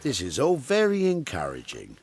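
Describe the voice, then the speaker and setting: A man's voice: mid-range voice, huge pitch swings, normal speed. A man reading a scripted voice-over for a TV show, with wry, teasing asides. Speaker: narrator of a TV upcycling show. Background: music.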